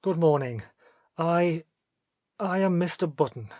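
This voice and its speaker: A man voicing a distraught gentleman, a new father near collapse, agitated and halting, in a storybook reading. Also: very high-pitched, sing-song, husky, dry recording, clean studio sound, pitched above the performer's own voice, exaggerated voice. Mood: nervous.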